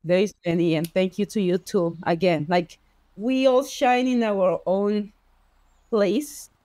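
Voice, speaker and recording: A woman with a Spanish accent, a native Spanish speaker, telling personal stories as a podcast guest in an expressive, heartfelt way. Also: medium pitch, takes it slowly, dry recording. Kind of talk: personal storytelling in conversation.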